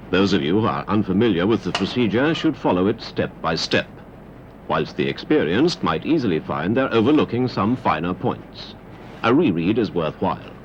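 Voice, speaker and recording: A British man reading a scripted instructional narration in a measured, precise way, from a 1970s training film. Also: lowish voice, slight background noise. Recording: dull 1970s videocassette transfer. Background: 1970s training film soundtrack.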